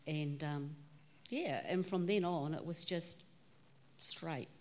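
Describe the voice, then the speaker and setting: Woman, New Zealand accent, low voice, conversational, church guest. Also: slow pace. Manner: plainspoken and conversational. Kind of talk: telling her faith story.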